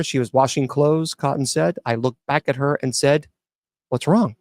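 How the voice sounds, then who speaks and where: A man's voice: medium pitch, darkish tone, dry recording, clean studio sound. Chatty man riffing on news. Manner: chatty, reads news aloud then riffs with many restarts. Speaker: host reading news stories. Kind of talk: casual commentary on news stories.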